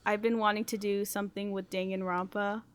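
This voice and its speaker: Woman, mid pitch, podcast host, casual chat, informal, thinking aloud. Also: flat delivery, brisk, a little hiss or hum. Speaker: podcast host putting questions to the group.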